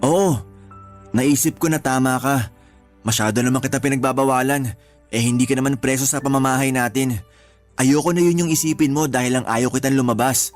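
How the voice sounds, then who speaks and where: Actor as earnest, placating husband, mid-pitched voice. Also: male voice, brisk, faint music behind, natural voice. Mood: placating, tender. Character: protective, placating husband and father, jealous at heart. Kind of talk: radio drama acting in dialogue.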